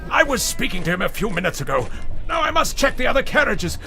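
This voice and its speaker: A man's voice: extremely high pitch, big pitch swings, fast, gravelly, pushed and bright, soft background music, heavily acted. An actor playing an agitated, grieving middle-aged father who hunts ghosts in an audio drama, speaking insistently and repeating himself with urgency. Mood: desperate.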